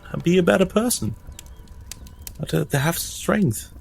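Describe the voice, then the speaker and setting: A man's voice: high voice, expressive, moderate pace, creaky, soft background music, voice pushed up, exaggerated voice. A man playing an earnest, troubled priest in a tabletop game, stammering and unsure of himself. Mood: hesitant, unsure.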